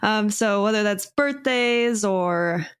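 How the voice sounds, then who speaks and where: A woman hosting a business podcast, asking questions and warmly affirming the guest's answers. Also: medium pitch, animated, slow pace, clear, resonant tone. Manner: upbeat, affirming interviewer. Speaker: podcast host. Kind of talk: casual interview.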